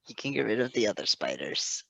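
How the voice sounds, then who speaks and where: A woman's voice: rumbling low, sing-song, a little fast, creaky, a bit bright, husky, dry recording. A woman giving casual reactions and banter as a co-reader, on a slightly dull recording.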